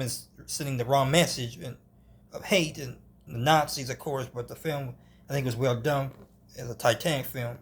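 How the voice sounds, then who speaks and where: A man giving an unscripted film review, halting, often restarting and hedging as he goes. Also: mid-range voice, slightly rough.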